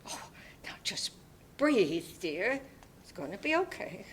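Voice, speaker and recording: A woman playing a kindly, kooky, motherly older neighbour in a dramatic play reading, with a gentle, fussing manner. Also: big pitch swings. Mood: concerned, soothing. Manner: slow, fussing, full of endearments. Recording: faint background noise and rumble.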